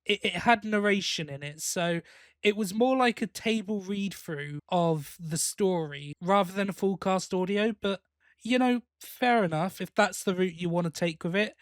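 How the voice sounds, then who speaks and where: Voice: mid-range voice, normal speed, no echo. A podcast host in casual review chat, opinionated and emphatic in complaint.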